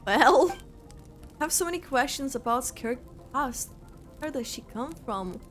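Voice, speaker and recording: A woman game streamer giving casual reaction commentary, halting as she thinks aloud. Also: high-pitched, expressive. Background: game music.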